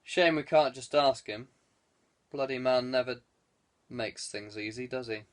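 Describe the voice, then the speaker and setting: A man's voice: mid-range voice, measured pace, voice pushed down, close to an everyday voice. A man voicing a blunt, dejected male conspirator who grumbles, in an audiobook. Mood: dejected grumbling. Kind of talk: audiobook character dialogue.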